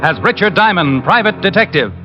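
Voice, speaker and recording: High-pitched vintage radio announcer man. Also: lively intonation, fairly quick, over music. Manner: polished, salesmanlike. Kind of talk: 1940s-50s scripted radio sponsor announcement. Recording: muffled old radio broadcast.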